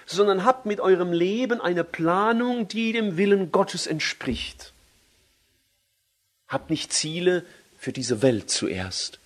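A man preaching a sermon in German. He leans hard on key phrases and slows down when he quotes scripture. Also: on the high side. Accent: German, with a native dialect.

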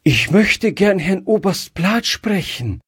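A man's voice: sits high, very animated, slow, husky, dry recording, studio quality, pitched above the performer's own voice, heavily acted. A man voicing an anxious, polite little girl who speaks breathlessly and pleads.

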